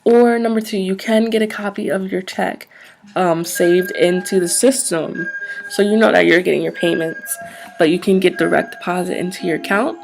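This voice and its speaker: A soft-spoken woman in an ASMR roleplay, acting as a polite, businesslike job interviewer who explains things a little hesitantly. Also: fairly low pitch, moderate pace, gravelly, music in the background.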